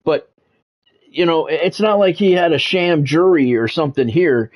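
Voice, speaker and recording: A man hosting a game livestream, talking sports to his viewers in measured, announcer-like phrases. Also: on the high side, lo-fi sound. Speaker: game streamer and sports commentator, former radio play-by-play announcer. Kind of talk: livestream monologue, sports talk to chat viewers.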